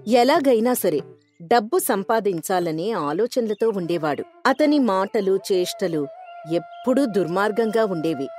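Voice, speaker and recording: A woman's voice: mid-range voice, animated, unhurried, a little mellow. A woman narrates a scripted village moral tale in a mid-range voice. She works as the storyteller, with music playing behind her.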